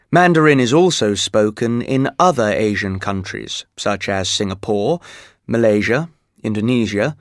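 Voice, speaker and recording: Measured male textbook narrator. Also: mid-range voice, dry recording, studio quality. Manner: steady, measured, explanatory. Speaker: textbook audio reader. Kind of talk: scripted educational narration.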